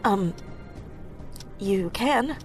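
An actress playing a distressed, apologetic young activist in an audio drama, speaking hesitantly. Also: female voice, mid-range voice, lively intonation, very slow, faint music behind. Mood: subdued, apologetic. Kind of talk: naturalistic audio drama acting.

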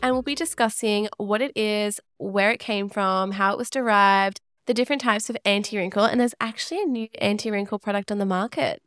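Clear, slightly nasal woman co-host. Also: medium pitch, brisk, few pauses, a bit bright, no echo, clean studio sound, faint music behind. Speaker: podcast co-host. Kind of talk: casual chat.

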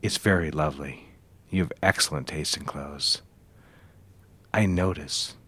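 An actor playing a cold, controlling middle-aged executive boss in an audio drama, measured and commanding. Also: male voice, extremely low pitch, pushed and bright, husky, exaggerated voice. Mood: soft, seductive.

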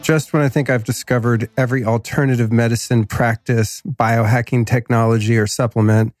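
A man's voice: medium pitch, fairly even intonation, moderate pace, a little mellow, dry recording, soft background music. Laid-back slangy man, a bit nasal. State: slightly nasal from a cold. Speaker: podcast host. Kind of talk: casual podcast intro and ad reads.

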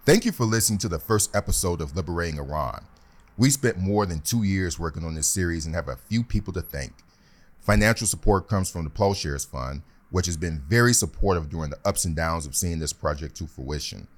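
A man hosting a podcast, narrating a scripted script in an earnest, steady way. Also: low voice, a little fast. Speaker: podcast host. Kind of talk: scripted narration and casual reactions.

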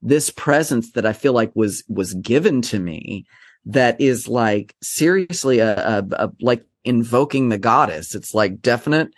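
A man from Texas speaks at a middle pitch in a dry, close recording. He talks as a guest about his drag performing, rambling and self-deprecating with plenty of animated asides. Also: a little slow, no echo. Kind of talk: conversational interview.

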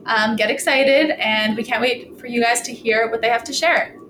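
A woman's voice: on the high side, moderate pace, loud music behind the voice. Upbeat woman hosting in a roomy space. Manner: enthusiastic, encouraging. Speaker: podcast staff co-host.

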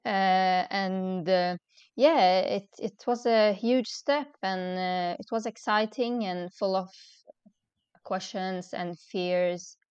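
A woman's voice: medium pitch, slow, darkish tone, clean tone, no echo, highs cut off. Hesitant young woman guest on a call. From Sweden. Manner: hesitant, many ums and restarts. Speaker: podcast guest. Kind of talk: casual interview chat. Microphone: remote call.

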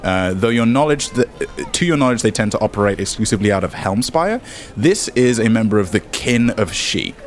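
A man's voice: lowish voice, expressive, brisk, faint music behind. A man narrating as game master, mixing descriptive scene-setting with wry, joking asides.

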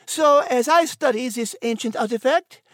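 A man voicing a dainty, excitable female cat with a French accent in an audio storybook. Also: extremely high pitch, normal speed, no room sound, studio quality, voice pushed up. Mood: delighted. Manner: gushing, dainty.

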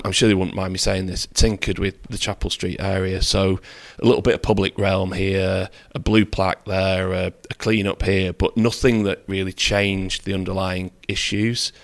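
Low gravelly-voiced man, candid and measured. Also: fairly bright tone. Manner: measured, candid, self-deprecating asides. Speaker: property developer, interview guest. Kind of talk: conversational interview.

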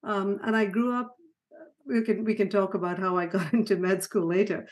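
A middle-aged woman born in Sri Lanka and raised in Ghana, talking through her life story as a podcast guest, with soft laughs and frequent restarts. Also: medium pitch, steady tone, moderate pace. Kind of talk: conversational storytelling interview.

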